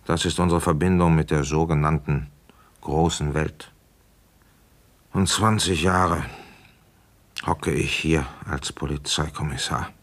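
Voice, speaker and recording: Radio play: provincial police commissioner, middle-aged, deep register, gruff, jaded, dryly sardonic. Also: male voice, steady tone, a little slow, pauses often, a bit bright, heavily acted. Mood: weary, sardonic. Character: world-weary, grumbling middle-aged male small-town French police commissioner. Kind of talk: German radio play acting and first-person narration.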